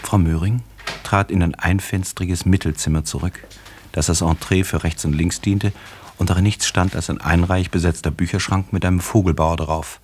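Male narrator, radio drama. Low, rough-edged voice, scripted literary prose told with dry irony. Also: steady tone, moderate pace, darkish tone.

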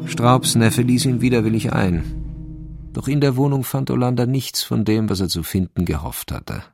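A man giving measured, composed literary narration for a radio crime drama. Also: mid-range voice, vocal fry, a little mellow, soft background music.

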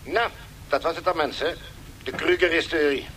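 Man acting a highish-voiced, casual radio director. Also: normal speed, fairly bright tone, lightly acted. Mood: matter-of-fact. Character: matter-of-fact male radio director wrapping up a broadcast. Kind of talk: naturalistic radio play dialogue. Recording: faintly noisy 1970s radio studio tape.

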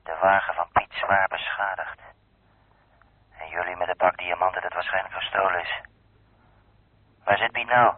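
A man playing a worried, gruff haulage boss in a radio drama. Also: deep voice, fairly even intonation, normal speed, pauses often, no echo. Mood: grumbling, pondering. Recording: muffled, narrow-band old radio recording.

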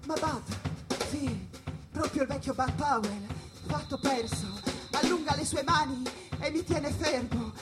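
Proud, high-voiced jazz musician. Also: female voice. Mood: sorrowful. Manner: rhythmic, repetitive, drawn-out phrases. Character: weary, proud, heavy-bodied male jazz musician. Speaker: stage actor. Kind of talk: theatrical jazz monologue with music. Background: jazz music and percussion.

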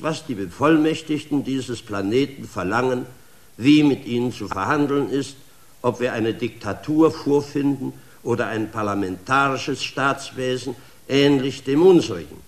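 A man's voice: medium pitch, close to an everyday voice. A man playing a solemn space mission leader. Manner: solemn, formal address. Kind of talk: radio play acting.

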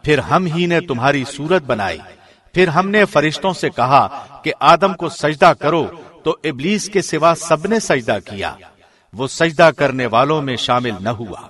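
A man reading a scripture translation from a script in a measured, formal, carefully phrased way, like a professional radio presenter. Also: fairly high pitch, animated, a bit bright.

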